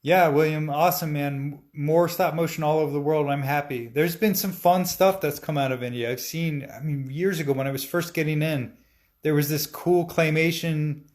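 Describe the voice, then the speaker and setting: A man in his forties hosts a casual live Q&A about his animation work. His voice sits a little high for a man. He talks in a relaxed, rambling way and often restarts his sentences. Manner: relaxed and chatty, with frequent fillers and restarts. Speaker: stop-motion animator answering live-stream questions. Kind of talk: casual live-stream Q&A, reading viewer comments aloud.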